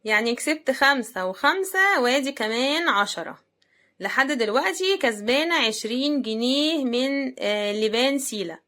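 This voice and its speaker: A woman's voice: high voice, lively intonation, normal speed, bright, forceful tone. A woman with an Egyptian accent giving a casual, chatty running commentary as she unboxes things, often thinking aloud. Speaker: unboxing video host.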